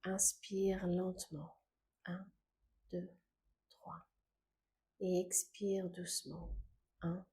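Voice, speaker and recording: A woman guiding a scripted meditation in a calm, gentle, unhurried way, with soft phrase endings that fade into breath. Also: on the low side, vocal fry, a little hiss or hum. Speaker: meditation guide.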